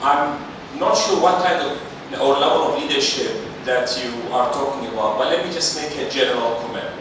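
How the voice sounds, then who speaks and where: A middle-aged male panellist giving a seminar talk, animated and coaxing the audience along. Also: mid-range voice, moderate pace. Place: large seminar hall. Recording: echoey hall, distant mic, noisy.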